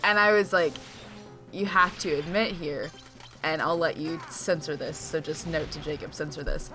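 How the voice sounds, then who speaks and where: A man co-hosting a game playthrough, chatting and telling an animated story. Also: on the high side, moderate pace. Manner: animated storyteller, laughs easily. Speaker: let's play co-host. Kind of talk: casual chat over gameplay. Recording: faint game music behind.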